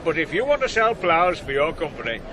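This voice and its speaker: A man's voice: on the high side, very animated, brisk. A man acting a shrewd, hearty older farmer in a radio drama. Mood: proud. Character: blustery older farmer, the ploughboy's shrewd boss. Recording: noisy old radio broadcast.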